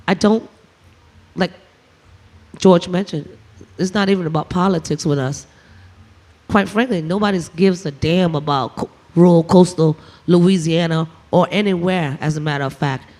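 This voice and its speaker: A man from Vietnam speaking on a panel as a shrimper, halting and repeating words as he builds his point. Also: sits high. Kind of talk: impassioned panel talk.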